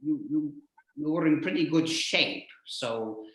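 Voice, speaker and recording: Man, show host, slightly high voice, roomy echo, casual interviewing, halting and rambling delivery. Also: fairly bright tone. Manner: halting, rambling, repeats words as he goes. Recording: echoey room sound.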